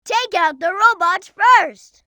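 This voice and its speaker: A performer voicing a young boy fox sidekick in a video game, childlike, earnest and excitable, eager to explain things. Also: extremely high pitch, slow pace, no room sound, studio quality, full character voice. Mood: earnest, observant. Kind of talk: video game character voice acting.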